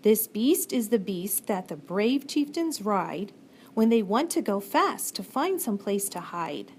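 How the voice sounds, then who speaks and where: A woman's voice: mid-range voice, sing-song, a little slow, a little mellow. A woman on staff reading a rhyming storybook aloud. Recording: faint background room noise.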